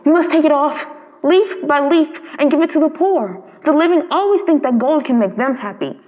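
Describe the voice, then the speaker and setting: A woman's voice: high voice, animated, moderate pace, a little mellow. A woman voicing a kind, sorrowful prince statue in a storybook, solemn and affectionate as he gives instructions. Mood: tender. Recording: long hall-like reverb.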